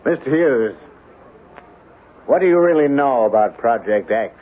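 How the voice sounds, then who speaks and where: Earnest colonel on tinny radio. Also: male voice, medium pitch, expressive. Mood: firm, challenging. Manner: measured, emphatic, rhetorical questioning. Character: earnest, protective male military colonel, middle-aged. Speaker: actor in a radio play. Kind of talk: 1970s radio drama acting. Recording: tinny, band-limited 1970s radio recording.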